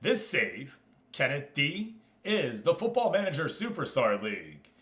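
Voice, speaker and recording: A man's voice: fairly high pitch, wildly swooping pitch, unhurried, a bit bright. An American man hosting a livestream, chatting casually with viewers and commentating on a game as he goes. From United States. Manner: chatty, hops between addressing viewers and explaining. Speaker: live streamer and host.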